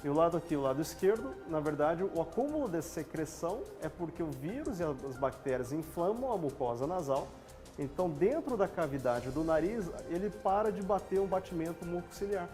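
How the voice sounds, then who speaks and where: A man with a Brazilian Portuguese accent, a doctor guest on a TV health show, explaining things calmly in plain lay terms in a studio. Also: fairly high pitch, brisk, faint music behind.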